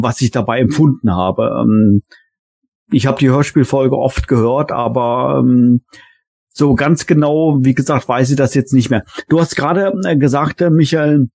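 A man with a mellow, medium-pitched voice hosts a casual fan podcast. He thinks aloud in a halting, wordy manner, recorded dry and close. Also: no room sound. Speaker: podcast host. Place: remote online call.

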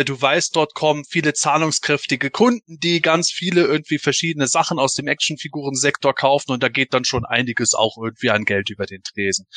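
A man's voice: a little high, pushed and bright, no echo. A man hosting a casual podcast discussion, explaining in long, roundabout and qualified sentences. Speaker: podcast host.

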